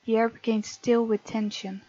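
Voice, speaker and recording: A woman's voice: fairly high pitch, flat delivery, normal speed, warm tone, no echo, dull audio. A woman hosting a visual novel let's play, reading the game text aloud expressively and adding chatty reactions. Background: game music.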